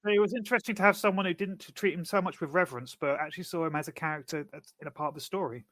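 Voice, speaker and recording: Higher-voiced man chatting on a podcast. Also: very fast, fairly bright tone, no echo, studio quality. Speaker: podcast co-host. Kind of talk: casual chat.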